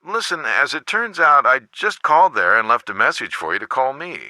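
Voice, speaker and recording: A caring American father in a mystery game. His voice is very bright and forward, with animated intonation. He speaks articulately, giving fatherly advice, and sounds close and dry. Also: mid-range voice, brisk, no room sound. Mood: friendly, encouraging. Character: teenage detective's caring, measured lawyer father. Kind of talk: video game voice acting.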